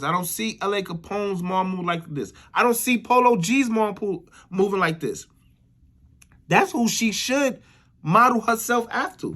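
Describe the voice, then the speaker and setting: American man, somewhat high pitch, opinionated YouTube commentator, casual slangy delivery, repeated phrases for emphasis. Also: fairly bright tone. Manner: repeats phrases for emphasis, frequent "you know what I'm saying" tags.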